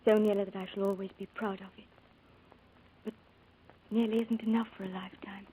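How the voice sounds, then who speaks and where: Gentle, mellow young bride-to-be played by a woman. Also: mid-range voice, flat delivery, a little fast, a little hiss or hum, close to an everyday voice. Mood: tender, wounded. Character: tender, perceptive young bride-to-be, bravely gentle. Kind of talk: 1940s radio acting. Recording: muffled old radio recording, music behind.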